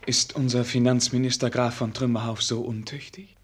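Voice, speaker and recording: A man plays a naive royal prince in a radio drama, with a rough-edged, husky voice of medium pitch. He speaks with courtly politeness, halting and easily dismayed. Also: flat delivery, lightly acted. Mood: hesitant questioning. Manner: halting, polite, aristocratic speech. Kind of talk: German radio play acting.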